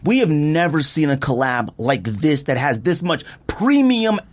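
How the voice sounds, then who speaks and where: Emphatic slangy man with swooping pitch. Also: very animated, unhurried. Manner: emphatic, slangy, insistent, repeats himself. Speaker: podcast host. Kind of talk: heated sneaker debate, casual chat.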